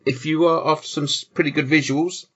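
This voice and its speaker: A man's voice: on the high side, fairly even intonation, fairly quick, a bit bright, highs cut off. A British man hosting a podcast, chatting casually with dry, jokey, sweary banter. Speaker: podcast host. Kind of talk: casual banter and film chat.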